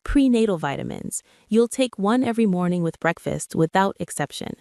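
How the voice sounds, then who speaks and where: A woman's voice: medium pitch, expressive, normal speed, dark tone, no room sound, clean studio sound, lightly acted. A woman voicing a stern, disapproving female household aide, formal and clinical, in an audio story. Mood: cold, clinical.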